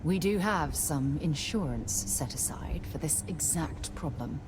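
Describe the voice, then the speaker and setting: An actress playing a composed, caring, no-nonsense space explorer in a video game, measured and matter-of-fact. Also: female voice, sits low, expressive, noisy background. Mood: firm, dry.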